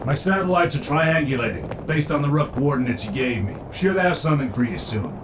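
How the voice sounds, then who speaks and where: A man's voice: a little high, lively intonation, brisk, natural voice. A man playing a sarcastic modern tech hero in a comic book audio drama, dry in manner, on a noisy recording. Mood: wry.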